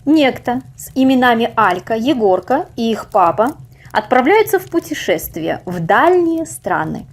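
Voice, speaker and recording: A woman reading a scripted story aloud as an expressive narrator. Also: a little high, measured pace.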